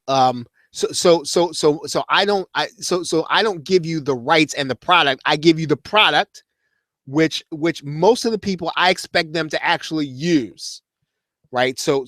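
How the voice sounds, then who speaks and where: A man in his forties chats loosely to his live audience, restarting sentences and piling up fillers as he goes. His voice sits a little high for a man. The recording is dry and close, with almost no room sound. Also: a bit bright, no echo. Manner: rambling, many restarts and fillers. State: losing his voice. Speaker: host of an online marketing show. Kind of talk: casual live-stream talk to viewers.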